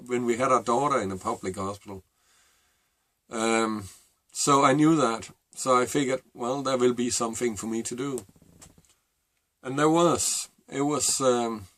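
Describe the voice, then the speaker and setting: A middle-aged man with a Danish accent, a native Danish speaker, telling a personal story to camera in a halting, reflective way. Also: medium pitch, fairly bright tone, no room sound. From Denmark. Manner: halting and reflective, with many fillers and restarts. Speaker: vlogger.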